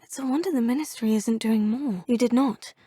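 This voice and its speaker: A woman's voice: fairly high pitch, fairly quick, warm tone, husky, no echo, clean studio sound. An earnest witch student. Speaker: player character student. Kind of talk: video game dialogue.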